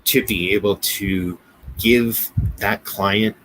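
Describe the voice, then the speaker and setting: A man's voice: on the low side, steady tone, slow pace, fairly bright tone, poor audio. Rambling American man chatting, faint noise. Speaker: co-host of a business show. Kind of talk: casual chat.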